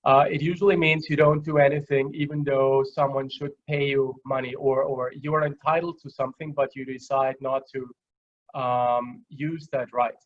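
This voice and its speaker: Muffled webinar audio, man, Austrian accent, mid-pitched, halting delivery, explanatory, conversational lecturing. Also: little pitch movement. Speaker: guest lecturer. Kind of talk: webinar lecture with simple examples. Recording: dull, lo-fi online call audio.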